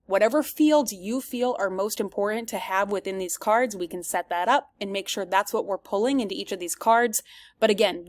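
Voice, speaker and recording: A woman giving a semi-scripted product demo as a presenter, explaining each step clearly and addressing viewers directly. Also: medium pitch, dry recording.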